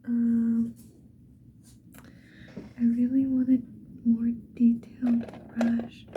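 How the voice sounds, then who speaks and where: Woman, high-pitched, breathy whisper, casual ASMR chat, unhurried, slightly muffled recording. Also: monotone. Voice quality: breathy whisper, mostly unvoiced. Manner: casual, unhurried, self-deprecating murmured asides. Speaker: ASMR video creator. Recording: dull, muffled sound with faint noise.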